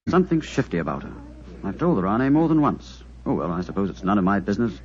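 An actor playing Sir Christopher, a snobbish English gentleman, in a 1940s radio drama, with a pompous upper-class manner. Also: fairly low pitch, big pitch swings, fast talker. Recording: dull lo-fi old radio broadcast.

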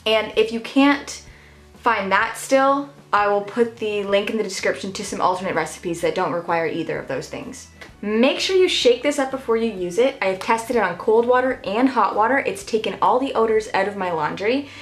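A woman's voice: medium pitch, normal speed, soft background music. A woman in her twenties, a DIY homesteading vlogger, talking casually and directly to her viewers from a small room at home. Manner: chatty, direct, addresses viewers as you guys. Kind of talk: casual talk to camera.

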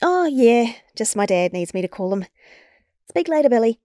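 Audio drama schoolgirl, played by a woman: teenage, secretive, mellow tone, dry recording. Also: on the high side, very animated, normal speed, no echo. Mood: casual.

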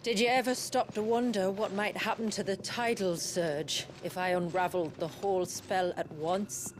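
An actress playing a guarded, sharp-tongued young sorceress with a dry, deliberate manner in a fantasy game. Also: female voice, medium pitch, fairly even intonation, a little hiss or hum, lightly acted. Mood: curt, reproving. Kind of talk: dramatic fantasy video game acting.

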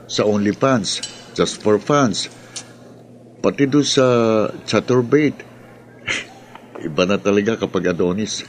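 A man's voice: lowish voice, takes it slowly, pauses often, slight background noise. An actor with a Filipino accent playing a young man, a grumbling buddy who works as an online creator, in a radio drama. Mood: teasing. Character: aggrieved male buddy, a struggling online content creator.

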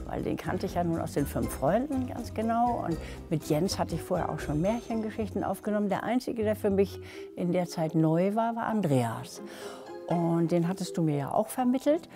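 An older woman, a producer of a long-running audio drama, chatting in a lively way with frequent laughter while walking through a large concert hall. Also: on the low side, moderate pace. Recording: music and crowd noise in a big hall.

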